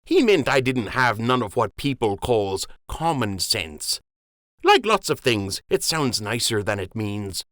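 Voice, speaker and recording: A man's voice: medium pitch, big pitch swings, a little slow, a bit bright, no echo, clean studio sound. A man voicing a rambling, cheerful rabbit dock worker who talks in a folksy, ungrammatical country dialect.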